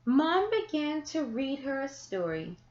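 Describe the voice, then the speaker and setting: A woman reading a children's picture book aloud, with deliberate, expressive phrasing and drawn-out words for young listeners. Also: sits high. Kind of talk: children's story read-aloud. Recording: echoey room, slightly muffled sound.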